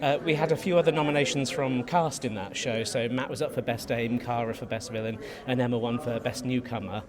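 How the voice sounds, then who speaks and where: A man's voice: medium pitch, a little fast. A man giving a casual, reflective interview in stop-start phrases at a busy theatre. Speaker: pantomime writer, director and dame performer. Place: busy theatre at an awards event. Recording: lively crowd chatter behind.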